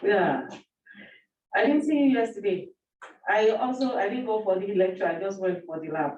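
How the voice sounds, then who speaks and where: A woman's voice: mid-range voice, lively intonation, normal speed, a little mellow. A woman chatting casually in short replies. She is picked up from a distance, so the room echoes around her and the sound is dull. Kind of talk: casual chat.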